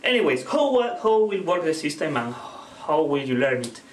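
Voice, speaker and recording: A man from Spain with a Spanish accent, who is sick and coughing, teaches his native language in halting, self-correcting English. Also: fairly high pitch, very animated, slight background noise. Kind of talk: casual video language lesson.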